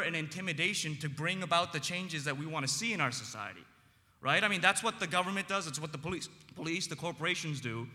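Earnest man with a big, bright voice. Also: high-pitched, in a large hall. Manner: earnest, frequent ums, emphatic slowed phrases. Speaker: panel speaker. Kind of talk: impassioned public debate speech.

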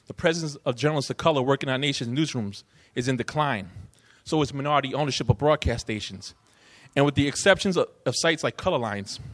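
A man moderating a conference panel, speaking earnestly with some restarts as he introduces the topic and the first guest. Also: medium pitch. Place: conference hall.

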